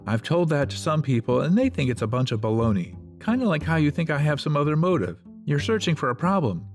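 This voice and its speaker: An actor playing a mellow, patient man in a radio play, earnest and reassuring. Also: medium pitch. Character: mellow, patient man calmly minimizing stress. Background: background music with guitar.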